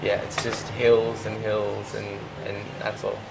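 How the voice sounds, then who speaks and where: A young Australian man, a fashion model, answering interview questions in a relaxed, hesitant way with many restarts. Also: fairly low pitch, unhurried, vocal fry. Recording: loud ambient noise behind.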